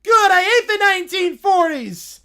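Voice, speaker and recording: A woman's voice: extremely high pitch, lively intonation, normal speed, fairly bright tone, voice pushed up, exaggerated voice. A woman voicing bratty children who whine and complain. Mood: whiny. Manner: whining.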